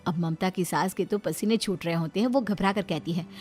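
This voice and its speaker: A woman's voice: lowish voice, fairly quick, darkish tone, soft background music. A woman giving scripted narration of a moral story as the storyteller.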